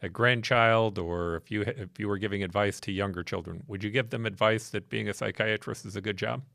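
An American man in his sixties, interviewing as a podcast host in a measured, conversational way. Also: on the low side, fairly even intonation, creaky, a bit bright, dry recording, studio quality. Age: 60s. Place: small wood-panelled podcast studio. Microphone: close boom-arm studio microphone.

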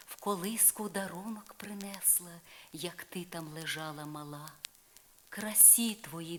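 A woman reciting verse as a calm, motherly fairy godmother, hushed, measured and soothing, on a crackly vinyl record. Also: on the low side. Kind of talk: dramatic poetry recitation.

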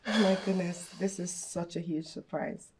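A woman's voice: lowish voice, fairly even intonation, moderate pace, heavy background noise, close to an everyday voice. A woman playing an emotional young employee who exclaims and stumbles over her words. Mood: surprised. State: crying. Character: young female employee, emotional and easily moved. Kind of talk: amateur skit acting.

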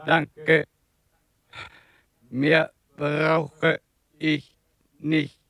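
An actor playing a gentle, wounded alien visitor in a children's audio drama, halting and monotone, with a creaky voice. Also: male voice, fairly high pitch, exaggerated voice. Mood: weak, grateful. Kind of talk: 1980s German children's audio drama acting.

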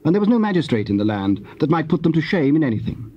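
A man narrating scripture as a measured, formal scripted reading. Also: fairly high pitch, very animated, husky. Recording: dull old cassette audio.

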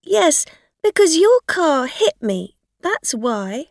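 A woman performs a scheming, aggrieved woman in an audio story. She sounds insistent and accusing, her pitch raised above plain narration. Words come very slowly and deliberately, close and dry. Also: animated, no echo. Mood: angry, accusing. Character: scheming, angry woman accuser demanding, playing the victim. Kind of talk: acted dialogue in an audio story.

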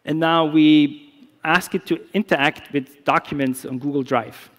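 A middle-aged man whose first language is German, giving a conference talk with a live demo in a relaxed, explanatory way with many restarts. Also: fairly high pitch, unhurried. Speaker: security researcher. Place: large conference stage. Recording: long reverb of a big hall.

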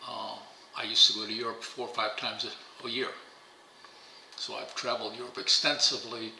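A man's voice: fairly low pitch, level tone, moderate pace, gravelly, bright, forceful tone. Older man, German native speaker, rambling interview stories, repeats himself, echoey room, faint hiss. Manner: rambling, repeats words, chuckling asides. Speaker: interviewee, pigeon fancier. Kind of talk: casual interview storytelling. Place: bright home dining room, indoors.

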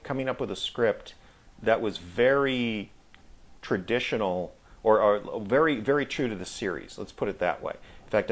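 A middle-aged American man reminiscing casually as a guest in an interview, speaking in a reflective, halting way. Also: lowish voice. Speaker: guest, audio drama actor.

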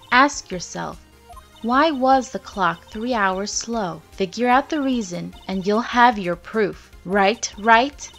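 A young woman lawyer who mentors played by a woman in a game fandub. She is firm and encouraging, speaking slowly at a medium pitch, with music behind her. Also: a bit bright. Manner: deliberate, instructive, firm. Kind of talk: video game fandub acting.

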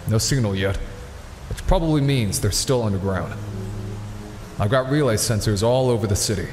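A man's voice: fairly low pitch, lively intonation, moderate pace, background noise, close to an everyday voice. Man as stern vigilante in cavernous reverb. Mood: grim, focused. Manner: terse, grim. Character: Batman, stern terse masked male vigilante. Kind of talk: audio drama acting. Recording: cavernous echoing reverb, music behind.

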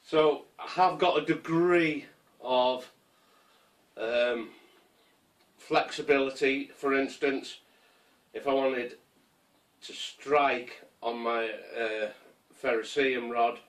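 A man in his fifties talks slowly through his camping kit, pausing often with ums and restarts. He sits a few feet from the camera in a small conservatory, so his voice sounds a little distant. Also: mid-range voice, fairly even intonation. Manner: unhurried, rambling, with frequent ums and restarts. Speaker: survival gear vlogger. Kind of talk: casual show-and-tell. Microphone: camera mic from a few feet away.

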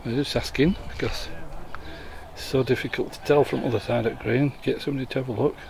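A man's voice: medium pitch, fairly even intonation, a little fast, gravelly, a little mellow, slight background noise. A man giving casual commentary on a bowls match, explaining each shot in a chatty way, recorded outdoors on a camera mic. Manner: chatty, explaining the play as it happens. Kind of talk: casual amateur sports commentary. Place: outdoors at a bowling green.

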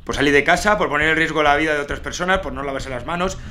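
A man in his thirties with an accent from Spain, making a prank phone call as a stern policeman, halting and scolding. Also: fairly high pitch, bright, forceful tone, slight background noise. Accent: Spanish from Spain. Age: 30s. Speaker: prank caller. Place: small room at home.

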